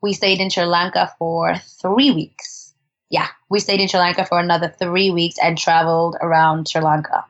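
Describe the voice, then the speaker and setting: A woman's voice: lowish voice, flat delivery, moderate pace, muffled recording. A woman telling travel stories as a podcast guest in a chatty, casual way, laughing often and restarting her sentences. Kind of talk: casual conversational storytelling.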